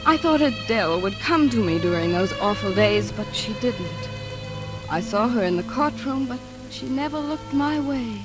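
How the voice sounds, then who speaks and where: A woman's voice: a little high, expressive, normal speed, over music, close to an everyday voice, rough recording. An actress playing a troubled widowed mother in an old radio drama, hesitant and melancholy. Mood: sombre, reflective. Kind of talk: 1940s radio acting and narration.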